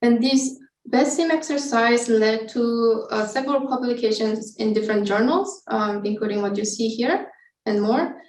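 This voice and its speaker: A woman's voice: a little high, moderate pace, darkish tone. A woman researcher giving an explanatory scientific webinar presentation, speaking somewhat hesitantly. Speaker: researcher presenting study methods. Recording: echoey room, distant from mic.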